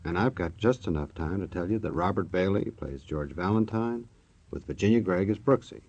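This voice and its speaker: A man's voice: low-pitched, animated, moderate pace, a little mellow, breathy edge. A man with an American accent announcing an old-time radio show in a jocular, folksy way, on a muffled recording. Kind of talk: 1940s-50s radio announcing.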